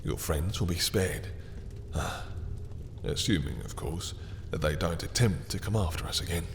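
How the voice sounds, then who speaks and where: A man voicing a possessive, sadistic male vampire lord in an audio roleplay, smooth and taunting, with soft chuckles and low whispers. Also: lively intonation, normal speed, long reverb tail, background noise. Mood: seductive.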